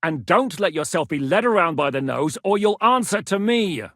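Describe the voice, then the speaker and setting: A man's voice: high voice, lively intonation, bright, forceful tone, no room sound, clean studio sound, heavily acted. A man voicing a shrewd, commanding medieval Czech mercenary lord in a video game, speaking with blunt authority. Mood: irritated, scolding. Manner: authoritative, blunt, swings from measured to barking. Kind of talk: dramatic video game dialogue.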